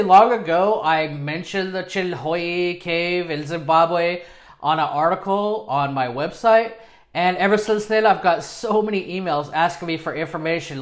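Higher-pitched man presenting casually to camera. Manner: self-deprecating, informal. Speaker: presenter of dive videos. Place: small home studio with acoustic foam, indoors.

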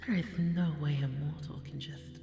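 A woman voicing a bored, volatile, haughty goddess in an ASMR audio roleplay, drawling and slipping into breathy murmurs. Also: low-pitched, fairly even intonation, normal speed. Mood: curious, intrigued. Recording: echoey hall reverb with music behind.

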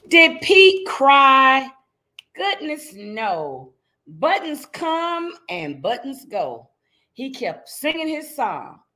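Slow-paced woman reading to young children. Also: mid-range voice, bright, forceful tone, a little hiss or hum. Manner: slow, teacherly, checks in with listeners with questions. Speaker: read-aloud storyteller for young children. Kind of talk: interactive children's picture book read-aloud. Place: indoors in front of a green screen.

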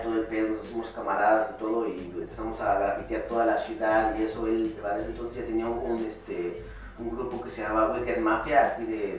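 A man's voice: medium pitch, distant mic. A man, a rapper talking casually as a guest with lots of slang and fillers. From born in Chicago, raised in Mexico City. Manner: relaxed, slangy, many fillers and restarts. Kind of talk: casual interview chat. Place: small home recording studio. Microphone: far camera mic. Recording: echoey room, voice from afar.

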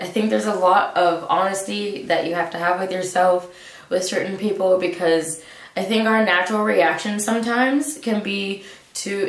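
A young woman with a stuffy nose, musing casually to camera in a relaxed way, with lots of filler words. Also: fairly low pitch. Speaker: vlogger. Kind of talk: casual reflective monologue to camera. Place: small room indoors, seated in a hanging chair. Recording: roomy echo, mic at a distance.

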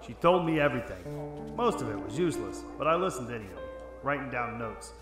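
A man playing a gruff, wry middle-aged private investigator in a noir radio drama. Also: mid-range voice, animated, brisk, music in the background, lightly acted. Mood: wry narration. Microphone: close studio microphone, headset worn.

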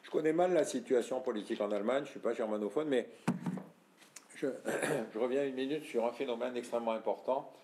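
A man's voice: medium pitch, brisk, heavy background noise. Older Frenchman lecturing in an echoey room. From France. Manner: explanatory, addresses audience directly, frequent throat clearing. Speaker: speaker giving a talk. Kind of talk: lecture with audience questions. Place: room with audience.

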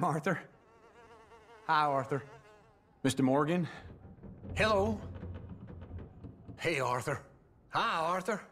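An actor playing a grumbling older outlaw camp loafer in a western video game, with a gravelly American drawl. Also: male voice, a little high, sharp tone, full character voice. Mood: weary, subdued.

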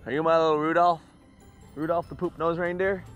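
A man in his thirties hosting a casual vlog, playful and given to sudden high excited shouts, filmed on a handheld action camera. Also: a little fast, lo-fi sound. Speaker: vlog host. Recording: music playing behind.

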